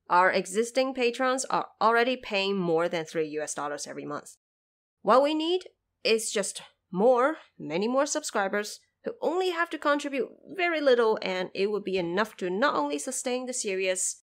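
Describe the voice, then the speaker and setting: A woman from China reading a scripted announcement to listeners as host and producer, with emphatic, clearly structured delivery, recorded in a bedroom home studio. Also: fairly high pitch, expressive, moderate pace. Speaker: podcast host and audio drama producer.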